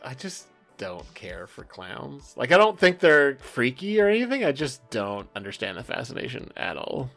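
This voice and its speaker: Male, podcast co-host, lively swinging intonation, mid pitch, casual, self-interrupting. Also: normal speed, vocal fry, faint music behind. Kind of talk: casual chat.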